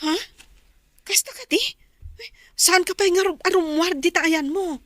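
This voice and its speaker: Concerned young ilocano waitress in a filipino drama, high voice, close dry sound. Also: female voice, unhurried, fairly bright tone, dry recording. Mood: worried, questioning. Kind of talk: TV drama acting.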